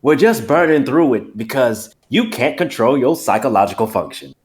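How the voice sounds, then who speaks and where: A man voicing an unflappable, creepy country man, calm and coldly fatalistic. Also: medium pitch, moderate pace, pitched above the performer's own voice, natural voice.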